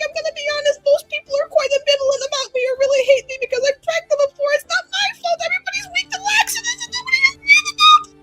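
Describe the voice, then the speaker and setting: A woman's voice: high-pitched, husky, pitched below the performer's own voice, heavily acted, rough recording. A woman voicing a nervous, scheming young mage in a fantasy tabletop game, rambling, slangy and anxiously earnest.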